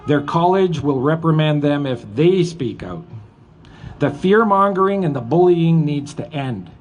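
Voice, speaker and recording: A middle-aged or older man with a Canadian accent gives an earnest, deliberate, emphatic public speech at a live event. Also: fairly high pitch, expressive, highs cut off. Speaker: retired police officer speaking at a rally. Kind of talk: public speech, partly read from a letter. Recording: live event with background noise.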